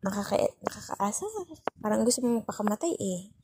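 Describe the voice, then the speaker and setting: A woman's voice: medium pitch, steady tone, fairly quick, noisy background, lightly acted. A woman with a Filipino accent playing a bossy but caring, worried young girlfriend who scolds and sulks in an intimate ASMR roleplay. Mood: sulky concern. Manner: scolding, sulky, teasing, repeats herself for emphasis.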